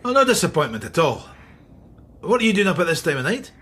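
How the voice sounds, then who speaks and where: Husky Scottish detective. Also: male voice, on the high side, very animated, fast talker, fairly bright tone, full character voice. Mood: wry, uneasy. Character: brooding, wry middle-aged male police detective. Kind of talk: radio drama acting with reflective narration.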